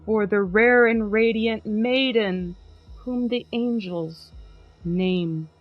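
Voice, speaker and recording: A woman's voice: mid-range voice, takes it slowly, phone-quality sound, soft background music. A woman hosting a podcast in an upbeat, chatty way, laughing easily and also reading a poem aloud with drama. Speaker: podcast host.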